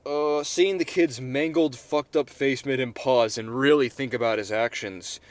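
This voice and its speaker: A man's voice: mid-range voice, unhurried, no echo. A man reading his own short story aloud, casually, with swearing and self-corrections.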